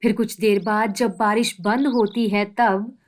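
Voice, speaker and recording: Woman narrator, medium pitch, storytelling. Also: fairly even intonation, a little slow. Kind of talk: scripted children's story narration.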